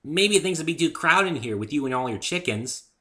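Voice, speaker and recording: Man putting on a high, bubbly girl pony. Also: a little fast. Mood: straining, cheerful. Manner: loud, excitable, insistent. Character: bubbly, stubborn, goofy pink female pony. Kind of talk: dramatic reading of fan fiction.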